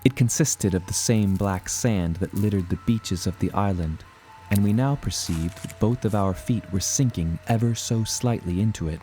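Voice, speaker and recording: A man narrating a scripted first-person adventure story in a measured, dramatic way. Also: on the low side, warm tone, faint music behind.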